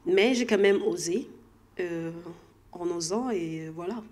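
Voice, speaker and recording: A woman's voice: lowish voice, slow, a little mellow. Congolese-accented woman, hesitant interview guest. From Democratic Republic of the Congo. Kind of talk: conversational interview answers.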